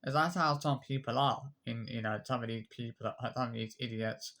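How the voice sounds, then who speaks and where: A man's voice: medium pitch, fairly quick, fairly bright tone. A man, ranting defensively to camera, repeating himself and listing insults. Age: 20s. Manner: repetitive, restarts phrases, lists insults; aggrieved, defensive. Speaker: YouTube commenter. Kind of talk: unscripted rant to camera.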